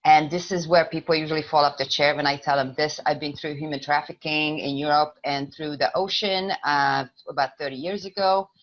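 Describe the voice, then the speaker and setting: A Hungarian-accented woman with a deep, low voice speaks earnestly and a little haltingly. The sound is dull and lo-fi, like a video call. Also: fairly even intonation. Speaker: online meeting speaker. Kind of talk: personal introduction on a video call.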